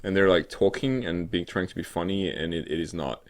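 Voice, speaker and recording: A man co-hosting a gaming show in casual, unscripted chat, rambling and halting, with a joking way of talking. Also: low voice, fairly even intonation. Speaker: co-host of a weekly gaming news show.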